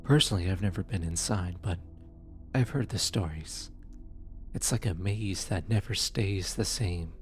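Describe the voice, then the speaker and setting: A man playing a gentle, apologetic demon who comforts lost souls in an ASMR roleplay, speaking softly and hesitantly. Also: lowish voice. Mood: wistful, explaining. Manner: soft, soothing, hesitant with stammers. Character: gentle, apologetic male demon soul collector comforting the newly dead. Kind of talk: ASMR roleplay audio drama.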